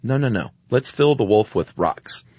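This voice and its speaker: A man's voice: fairly low pitch, lively intonation, moderate pace, darkish tone, no echo. A man co-hosting a podcast, recounting stories in a casual, wry conversational style. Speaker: podcast co-host.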